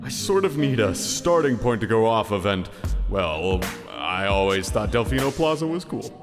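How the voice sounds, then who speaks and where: Earnest, hesitant cartoon mentor, male actor: animated intonation, encouraging and self-doubting, music behind. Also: lowish voice, moderate pace. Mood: sheepish, earnest. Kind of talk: animated comedy voice acting. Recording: long reverb, music behind.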